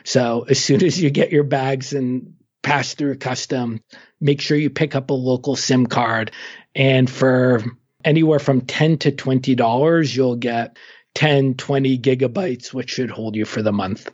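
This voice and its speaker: A man speaks at a middle pitch in a relaxed, informative way, pausing on fillers as he explains. The recording is slightly dull and muffled, like remote audio. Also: breathy edge. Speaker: travel guest expert. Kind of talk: casual travel advice conversation.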